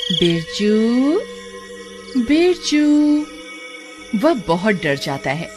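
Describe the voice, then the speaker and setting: A woman narrates a scripted horror cartoon tale. Her delivery is very animated at a middling pitch, and music plays clearly behind her.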